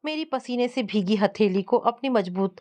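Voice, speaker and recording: A woman's voice: mid-range voice, expressive, moderate pace, mellow. A woman reading a scripted first-person family story as its narrator.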